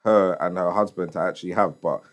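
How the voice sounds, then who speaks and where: A man's voice: low voice, steady tone, a little fast. A man co-hosting a podcast, laid-back with short interjections. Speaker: podcast co-host. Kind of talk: casual chat.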